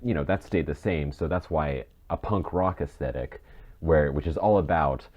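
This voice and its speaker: Very deep-voiced man on a dull mic. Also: moderate pace, a little mellow. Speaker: podcast co-host. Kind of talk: casual discussion.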